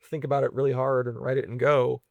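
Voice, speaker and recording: A man's voice: medium pitch, flat delivery, fast, no room sound, muffled recording. A man talking casually through technical ideas as an interview guest, reasoning aloud with hedges and tag questions. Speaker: guest, venture investor and software engineer.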